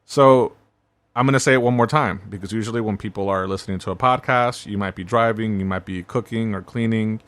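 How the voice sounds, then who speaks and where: A man's voice: on the low side, fairly quick, fairly bright tone, dry recording. A man hosting a self-help podcast, talking in a laid-back, conversational way and often checking in with "right?". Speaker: podcast host.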